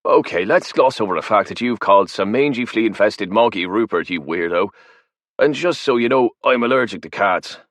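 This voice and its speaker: A man's voice: fairly low pitch, animated, moderate pace, a bit bright, dry recording, voice pushed up. A man voicing a cheeky, complaining male ex-army engineer. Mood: grumbling.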